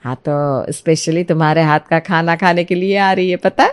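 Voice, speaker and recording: A woman's voice: on the low side, fairly even intonation, normal speed, clean tone, pitched below the performer's own voice, lightly acted. A woman voicing a kindly middle-aged mother-in-law in a cartoon story, speaking in a motherly, coaxing way. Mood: coaxing, plotting. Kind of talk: Hindi cartoon moral story dialogue.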